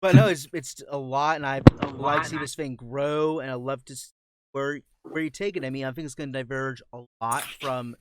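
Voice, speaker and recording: A man's voice: mid-range voice, fairly even intonation, fairly quick, slight background noise. A man talking casually as a voice actor on a group panel held over an online call.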